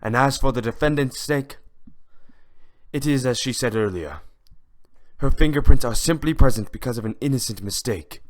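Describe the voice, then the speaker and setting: A man's voice: mid-range voice, normal speed, natural voice. A man voicing a stern, skeptical buffalo police chief, speaking formally as a prosecutor in an amateur audio drama. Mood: resigned.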